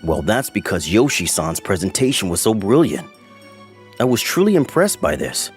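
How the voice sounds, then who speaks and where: Man acting a commanding male executive, low voice, stern, formal, manga dub, music underneath. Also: sing-song, normal speed. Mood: formal, measured. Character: stern, authoritative male company boss, fair-minded and formal.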